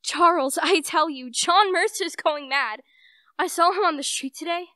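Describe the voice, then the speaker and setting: A woman's voice: very high-pitched, normal speed, sharp tone, no room sound, clean studio sound. A teenage female student actor playing an indignant, gossipy society wife in a radio play, prim and emphatic.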